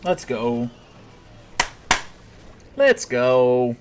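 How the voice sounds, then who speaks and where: A man, a streamer, chatting casually with his live chat, thinking out loud and often restarting his sentences. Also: mid-range voice, big pitch swings, very slow, clear, resonant tone, faint music behind.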